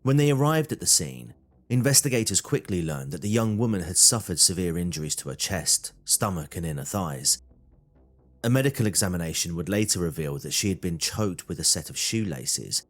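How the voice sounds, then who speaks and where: A man's voice: deep voice, lively intonation, fairly quick, faint music behind. A man reading scripted true crime documentary narration.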